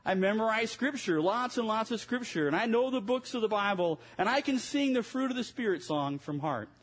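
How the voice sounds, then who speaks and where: Man, pastor, casual sermon delivery, wry asides, high voice, slightly breathy. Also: animated, a little fast, poor audio. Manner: conversational preaching with self-mocking asides.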